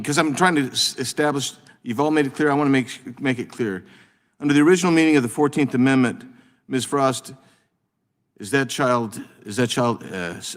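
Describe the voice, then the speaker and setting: A congressman questioning witnesses at a committee hearing, talking in halting bursts with many restarts and fillers. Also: mid-range voice. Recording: long reverb of a hearing room.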